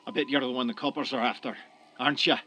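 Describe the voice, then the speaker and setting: A man's voice: mid-range voice, steady tone, fast talker, bright, forceful tone, a little hiss or hum, natural voice. A man playing a wary, aggrieved Irish tenant in a radio drama, blunt and threatening in an Irish accent. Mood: threatening, suspicious.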